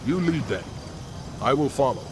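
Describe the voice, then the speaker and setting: A man's voice: mid-range voice, lively intonation, moderate pace, background noise, lightly acted. An actor playing a brash, loyal young male warrior in a fantasy game, with a cocky, informal, bantering manner. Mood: confident. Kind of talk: scripted fantasy game acting.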